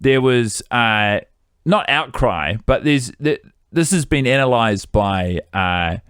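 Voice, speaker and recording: A man's voice: mid-range voice, animated, slow, a bit bright, clean tone, dry recording, studio quality. A man, co-hosting a casual film-review podcast and rambling with fillers and restarts. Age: 30s. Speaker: podcast co-host.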